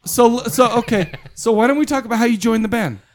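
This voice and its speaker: A man's voice: very high voice, a little fast. A man in his forties hosting a podcast interview in a studio, joking and rambling in a self-mocking way. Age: 40s. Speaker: podcast host. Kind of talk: casual interview chat.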